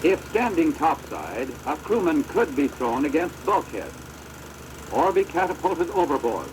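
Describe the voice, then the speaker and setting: An American man giving formal, measured instructional narration for a training film, on a deteriorated old projector soundtrack. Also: a little high, big pitch swings, background noise.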